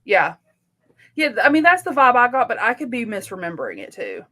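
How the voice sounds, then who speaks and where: A woman in a casual book discussion as a co-host, talking informally with slangy phrasing and softened opinions. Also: a little high, huge pitch swings, a little fast.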